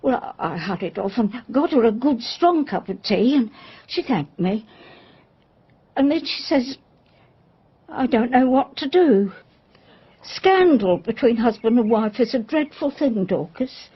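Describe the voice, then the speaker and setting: An actress playing a loyal, deferential, gossipy English housekeeper with an English accent, flustered and animated, in a radio drama. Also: female voice, a little high, a little slow, husky, highs cut off, exaggerated voice. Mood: confiding.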